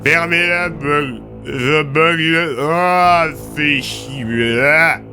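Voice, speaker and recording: A man's voice: fairly high pitch, very slow, pushed and bright, faint music behind, voice pushed up, full character voice. A man voicing a drugged, furious captive sergeant in an audio drama, slurring, drooling and groaning. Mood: dazed fury.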